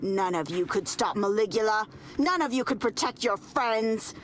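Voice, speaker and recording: An actress playing a stern, prissy and menacing female librarian in an animated video game, prim, haughty and condescending. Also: mid-range voice, normal speed, a little hiss or hum, lightly acted. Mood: haughty menace.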